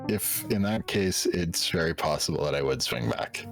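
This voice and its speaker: A man's voice: sits low, lively intonation, moderate pace, music in the background. A man from Canada, a guest on a casual stream, laid-back and blunt with short answers.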